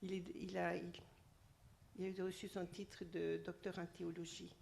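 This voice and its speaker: A woman moderating a meeting, softly introducing a speaker in short, halting phrases. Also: fairly low pitch, level tone, gravelly. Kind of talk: introducing speakers in French, paced for an interpreter. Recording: echoey room with background noise.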